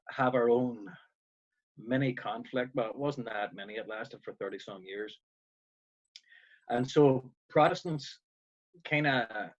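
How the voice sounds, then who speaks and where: A man's voice: on the low side, a bit bright, muffled recording. A man in his fifties from Northern Ireland with a Northern Irish accent, chatting as a musician guest and telling stories with dry humor. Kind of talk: casual video call chat.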